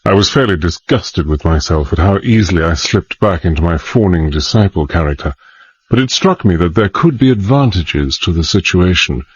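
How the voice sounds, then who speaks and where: Deep-voiced gentleman adventurer telling his tale. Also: breathy edge, highs cut off. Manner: measured, formal, old-fashioned gentlemanly phrasing. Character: resolute middle-aged gentleman adventurer, male, narrating his exploits. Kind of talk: dramatised first-person narration and dialogue.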